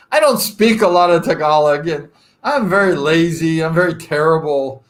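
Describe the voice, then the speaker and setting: A man around sixty from Southern California who hosts a relaxed live chat, telling long wandering stories full of "uh" and "like." He jokes at his own expense in a friendly way. His speaking voice sits a little higher than most men's. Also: unhurried. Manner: rambling and chatty, self-deprecating joking, frequent fillers. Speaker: live-stream host. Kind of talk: casual live chat with viewers.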